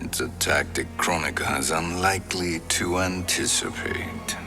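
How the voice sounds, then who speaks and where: An actor playing a cunning, composed older sorcerer in a video game, speaking formally and persuasively. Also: male voice, low voice, normal speed, music in the background, natural voice. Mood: calculating. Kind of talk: fantasy video game cutscene acting.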